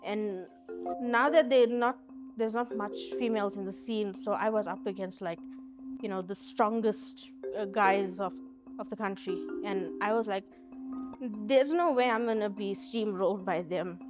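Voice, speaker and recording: A woman's voice: medium pitch, a little slow. A woman with a South African accent, a competitive gamer talking in a documentary interview. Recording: music playing behind the voice. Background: background music.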